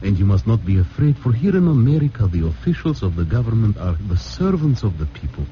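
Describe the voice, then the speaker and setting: A man's voice: lowish voice, big pitch swings, fairly quick. A man playing a tender, reassuring refugee father, speaking formally in a 1940s radio drama. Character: gentle, reassuring refugee father, former newspaper editor. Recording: muffled, faintly noisy old radio recording.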